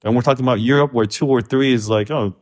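A man's voice: medium pitch, steady tone, fast talker. A man from Korea co-hosting a podcast, talking frankly and loosely with restarts. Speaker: podcast co-host. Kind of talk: casual conversational podcast.

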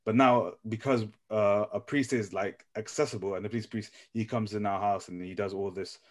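A young man from Nepal in a casual group discussion, speaking haltingly and often repeating his phrases as he works out his thought. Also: lowish voice, fairly even intonation. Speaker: podcast panelist.

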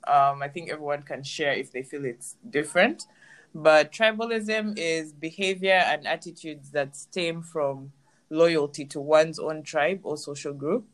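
A woman's voice: low-pitched, a little slow. A woman hosting a podcast in casual chat, reading out definitions and laughing easily. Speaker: podcast host.

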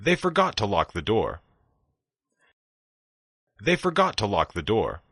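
Male phrase reader for a language course: very low, husky voice, slow and deliberate delivery. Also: wildly swooping pitch, a bit bright, no echo. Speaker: language lesson speaker. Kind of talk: reading phrases for learners.

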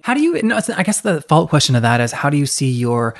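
A man's voice: a little high, big pitch swings, fast talker. A man interviewing a guest, asking thoughtful follow-up questions in a conversational way.

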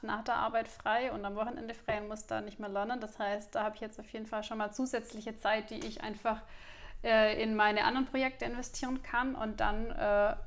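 A woman in her twenties with a Swabian accent, a singer answering interview questions in a relaxed way, laughing often. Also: on the high side. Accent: Swabian German. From Swabia, Germany. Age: 20s. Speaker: singer and influencer.

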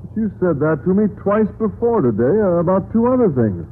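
An actor playing a naive, polite biology teacher in an old radio sitcom, speaking with hesitant earnestness. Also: male voice, sits high, big pitch swings, a little fast. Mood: puzzled. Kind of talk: 1940s-50s radio sitcom acting. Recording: noisy, narrow-band old radio recording.

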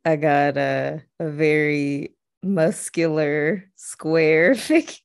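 Brief: young adult woman, deep voice, very low pitch, unhurried casual co-host. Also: creaky, smooth. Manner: relaxed, brief agreeing replies, quick when telling a story. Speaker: podcast co-host. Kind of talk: casual conversational chat.

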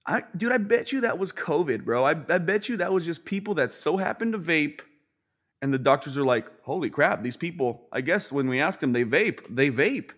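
A young man chatting as a podcast guest, slangy and animated, often mimicking other people mid-sentence. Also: a little high, normal speed. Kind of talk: casual chat.

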